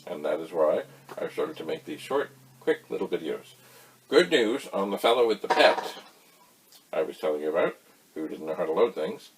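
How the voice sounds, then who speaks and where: A man giving a casual, folksy explainer, rambling through anecdotes with emphatic pauses. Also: deep voice, very animated, creaky, breathy edge, low-quality recording. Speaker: host of a retro computer tutorial channel.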